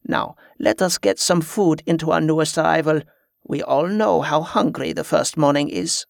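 Man as a commanding older woman, calmly firm. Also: on the high side, creaky, dry recording, studio quality. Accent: foreign-sounding invented accent. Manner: firm, measured, authoritative.

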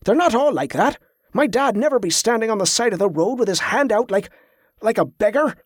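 A man's voice: fairly high pitch, steady tone, moderate pace, a little mellow, dry recording, studio quality, lightly acted. A man voicing a proud, touchy guard in a fantasy audiobook, with a defensive, colloquial manner. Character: proud, touchy young male guard, loyal to his father.

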